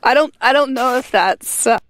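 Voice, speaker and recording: A woman's voice: high-pitched, normal speed, fairly bright tone, poor audio. A woman with a head cold and a stuffed-up voice, leaving a rambling, giggly voicemail full of fillers. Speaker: voicemail caller. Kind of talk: casual voicemail message.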